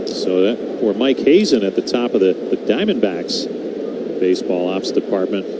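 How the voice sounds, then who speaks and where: A man's voice: sing-song, normal speed. Male baseball radio announcer over heavy noise. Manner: clipped pitch calls between conversational asides. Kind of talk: live radio sports play-by-play commentary. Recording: very noisy live broadcast, constant background hum.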